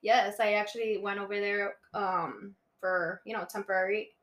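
A woman's voice: mid-range voice, steady tone, moderate pace, fairly bright tone, reverberant. A woman in her twenties, born in Colombia and raised in Miami, chatting easily as a podcast guest and laughing often. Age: 20s. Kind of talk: casual chat.

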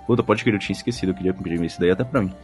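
A Brazilian man with a fairly deep voice co-hosts a relaxed podcast. He talks informally, with slang and jokes, while reading out comments. Faint music plays behind him. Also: rapid-fire. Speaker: podcast host. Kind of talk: casual chat, reading listener comments. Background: background music.

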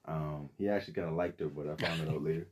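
A man's voice: rumbling low, fairly even intonation, fast talker, slight background noise. A young man from the Bahamas, a podcast guest telling his story in a rambling, casual way with many fillers and restarts.

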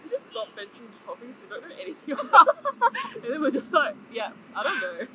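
A woman's voice: high-pitched, huge pitch swings, fairly quick, sharp tone. A young woman co-hosting a podcast in casual slangy chat, laughing often. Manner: giggly, laughs often, loose slangy talk. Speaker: podcast co-host.

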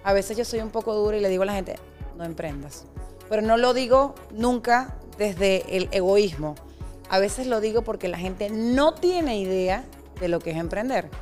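A woman entrepreneur speaks as a podcast guest in a frank, emphatic, expressive way. Her voice has an ordinary mid pitch for a woman. Faint music runs underneath. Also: normal speed. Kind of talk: interview conversation.

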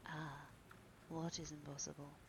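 Audiobook role: vain, coolly despairing young man, very soft, low and creaky, acted by a woman. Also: steady tone, measured pace, background noise. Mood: bitter, murmuring. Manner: hushed, murmuring, breathy near-whisper. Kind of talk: dramatic audiobook character reading.

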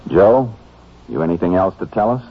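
An American man playing a calm, matter-of-fact Texas Ranger investigator in 1950s radio drama style. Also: low voice, slightly rough, heavily acted. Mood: businesslike. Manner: measured, matter-of-fact, clipped. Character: calm, steady Texas Ranger investigator, narrating his case. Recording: dull, noisy 1950s radio recording.

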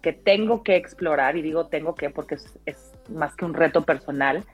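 Deep-voiced Mexican woman. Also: normal speed, husky. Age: 30s. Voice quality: deep, full-bodied. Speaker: interview guest, radio announcer. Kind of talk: casual interview, personal storytelling. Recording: dull lo-fi sound, music underneath.

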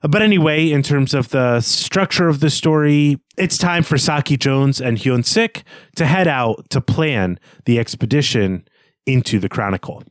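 A man co-hosting a podcast, giving a prepared story summary in a measured, explanatory manner. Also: medium pitch, lively intonation, dry recording, clean studio sound. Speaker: podcast co-host.

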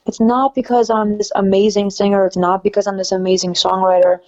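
Soft raspy-voiced woman, mellow and chatty. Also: medium pitch, fairly quick, lo-fi sound. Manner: relaxed, chatty, many fillers. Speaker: singer-songwriter guest. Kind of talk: casual interview chat.

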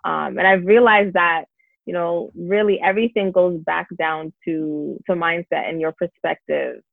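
A woman's voice: on the low side, steady tone, unhurried, gravelly, no echo. A woman, a success coach, answering interview questions earnestly and upbeat, with lots of fillers. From Canada. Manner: earnest, upbeat, many "um" and "you know" fillers. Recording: tinny phone-like line.

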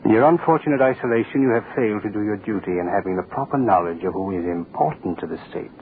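A man's voice: fairly low pitch, quick pace, lightly acted. A man playing an imperious, menacing state dignitary in an old radio drama, curt, commanding and pompous. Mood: imperious, demanding. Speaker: actor in a radio play. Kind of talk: 1940s radio horror acting. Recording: tinny old radio recording, faint noise.

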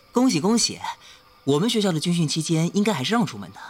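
A man's voice: high voice, big pitch swings, a little fast. Wry young male college freshman in audio drama. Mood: teasing. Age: young adult. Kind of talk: Chinese audio drama acting.

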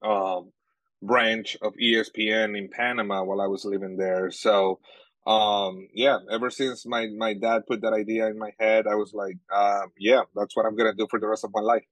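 Venezuelan guy, young adult, native Spanish speaker, low-ish voice, dry close mic, halting and filler-heavy. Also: level tone, a bit bright, dry recording, low-quality recording. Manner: halting, many fillers and restarts. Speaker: sports journalist guest. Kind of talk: casual interview answers.

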